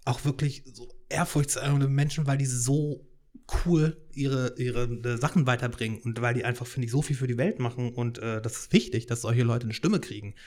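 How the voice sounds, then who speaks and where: A man in his thirties, a podcast host from Hannover, talks freely in a casual monologue. He rambles, restarts sentences and fills pauses with small hesitations, at a medium pitch. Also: fairly even intonation, darkish tone. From Hannover, Germany. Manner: rambling, many fillers and restarts.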